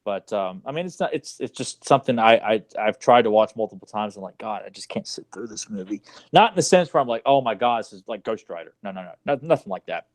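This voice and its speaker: A man co-hosting a casual movie podcast, opinionated and quick to argue his side. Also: lowish voice, lively intonation. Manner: opinionated, argumentative. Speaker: podcast co-host.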